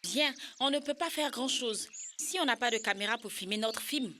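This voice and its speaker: High-voiced determined schoolgirl. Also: normal speed, pushed and bright, slight background noise. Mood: decisive. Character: determined young schoolgirl, natural leader. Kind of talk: dubbed educational cartoon acting. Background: music.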